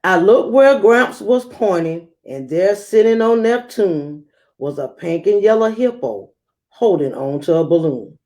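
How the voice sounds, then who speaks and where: A woman reads a children's picture book aloud in a mellow, mid-pitched voice. She takes her time with the rhymes and leans on words for theatrical effect, leaving dramatic pauses. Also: animated. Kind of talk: expressive rhyming storybook read-aloud.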